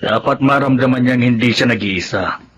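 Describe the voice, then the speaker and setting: A man's voice: medium pitch, moderate pace, fairly bright tone, slight background noise, lightly acted. Man acting an earnest caring father, dull sound. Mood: concerned. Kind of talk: Tagalog radio drama acting.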